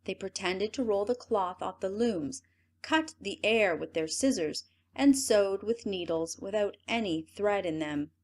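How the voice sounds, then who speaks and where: Lively woman narrating a storybook. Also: mid-range voice, a little slow. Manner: expressive, lively storytelling read. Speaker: audiobook narrator.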